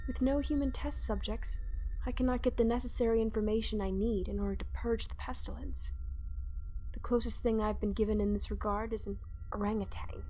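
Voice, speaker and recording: A woman's voice: medium pitch, a little mellow, lightly acted. A woman playing a cold, obsessive plague doctor creature in a horror roleplay, speaking in formal, old-fashioned, condescending phrases. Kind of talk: scripted horror ASMR roleplay.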